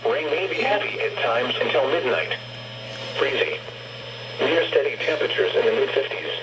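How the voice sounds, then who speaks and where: A man's voice: mid-range voice, very animated, normal speed. A man reading a formal scripted forecast as a weather radio announcer, stiff and impersonal. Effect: radio broadcast. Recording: dull, very noisy weather radio playback.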